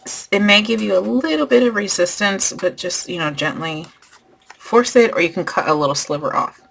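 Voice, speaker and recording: A woman hosting a craft tutorial, losing her voice and clearing her throat as she talks through each step while working. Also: medium pitch, animated, moderate pace, a bit bright. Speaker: crafting channel host.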